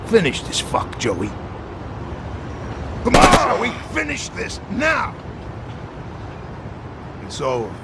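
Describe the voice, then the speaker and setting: An actor playing a stern, betrayed gangster giving terse commands in a crime game. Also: male voice, high voice, huge pitch swings, slow, long pauses, full character voice. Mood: grim, urgent. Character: stern, betrayed male gangster demanding an execution. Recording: loud car and street noise.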